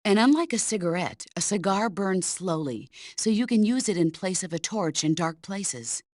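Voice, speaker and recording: A woman's voice: medium pitch, expressive, moderate pace, a little mellow, dry recording, studio quality, lightly acted. A middle-aged woman playing a stern, commanding veteran soldier who mentors her former protégé in a video game. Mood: matter-of-fact. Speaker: military mentor character. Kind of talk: video game voice acting, radio briefing dialogue.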